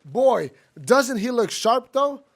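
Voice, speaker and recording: A man's voice: very high-pitched, big pitch swings, takes it slowly, heavily acted. A man playing an eager, wholesome young cub reporter with boyish slang in an old-time radio drama. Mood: excited. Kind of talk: 1940s-style radio drama acting.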